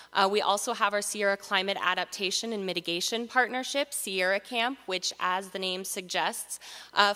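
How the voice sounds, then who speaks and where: Brief: earnest woman speaker, bright crisp voice, filler-heavy delivery, long hall reverb. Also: medium pitch. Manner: earnest, many "uh" fillers between phrases. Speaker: panel speaker for a regional nonprofit. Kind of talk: prepared talk at a live event.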